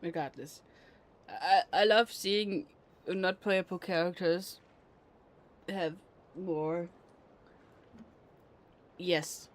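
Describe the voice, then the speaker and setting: A woman's voice: lowish voice, extremely slow, pauses often. A woman streaming a game, giving casual, rambling and playful live commentary. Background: game music.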